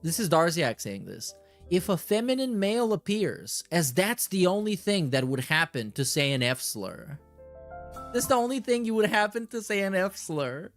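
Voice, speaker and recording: A man's voice: high voice, sing-song, moderate pace, faint music behind. A man doing casual reaction commentary and reading messages aloud, in a slangy, mocking, sweary way. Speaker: streamer and commentator.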